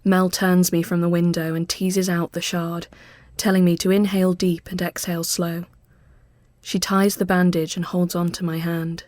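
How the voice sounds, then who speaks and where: A woman reading a literary ghost story as scripted narration, measured and often hushed as phrases end. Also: fairly low pitch, flat delivery, dry recording.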